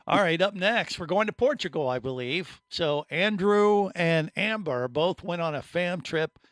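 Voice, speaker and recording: A man's voice: high voice, lively intonation, unhurried, fairly bright tone, dry recording. A man hosting a casual podcast chat, upbeat and questioning his guests. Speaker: podcast host.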